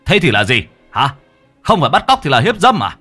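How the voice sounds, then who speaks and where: A man's voice: medium pitch, very animated, normal speed, a bit bright, soft background music, voice pushed up. Man doing a blustering, hot-tempered village husband. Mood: threatening. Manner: blustering, stammering, coarse.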